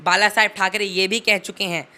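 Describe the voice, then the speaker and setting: A man's voice: high-pitched, level tone, fairly quick, bright, forceful tone. A teenage young man giving impassioned political commentary in an interview, emphatic and oratorical, leaning on rhetorical repetition.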